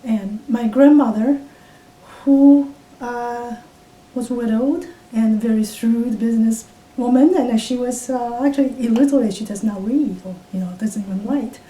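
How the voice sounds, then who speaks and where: Woman in her fifties, Taiwanese accent, mellow dark tone, roomy far-off recording, halting reflective speech. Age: 50s. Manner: reflective, halting with many fillers and restarts. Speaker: interviewee. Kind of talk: oral history interview. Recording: roomy, distant mic with faint rumble.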